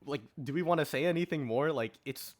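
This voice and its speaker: A man's voice: on the high side, a little fast. Casual man explaining his picks. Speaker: podcast co-host. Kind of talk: casual panel discussion.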